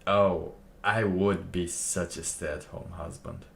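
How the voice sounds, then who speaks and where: A man streaming alone, reacting to a clip with slow, musing casual commentary and laughing to himself. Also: low voice, fairly even intonation, gravelly, a bit bright, slight background noise.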